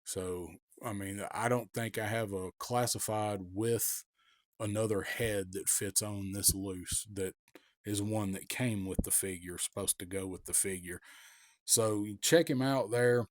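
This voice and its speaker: A man with a cold, raspy, giving a casual, rambling toy review with many ums and restarts. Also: fairly low pitch, no room sound, studio quality.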